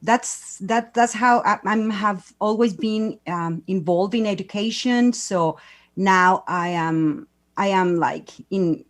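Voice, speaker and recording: A woman's voice: medium pitch, slow. A woman from Mexico City with a Mexican accent, speaking English as a second language and searching for words on a panel. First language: Spanish. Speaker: education and culture promoter. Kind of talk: panel discussion.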